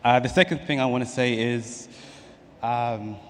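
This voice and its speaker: A man's voice: medium pitch, steady tone, unhurried. A man with a London accent moderating a conference panel, speaking informally to introduce the session. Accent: London English. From London, England. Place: large conference hall on stage. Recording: echoey hall PA, noticeable noise.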